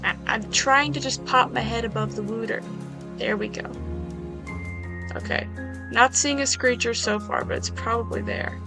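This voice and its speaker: A woman talks her way through a game in a loose, chatty running commentary, tossing out wry little asides to herself. Her fairly high voice has a slightly hoarse, gravelly texture. Game music plays clearly beneath her. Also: fairly even intonation, normal speed. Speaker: gaming video creator. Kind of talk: casual gameplay commentary.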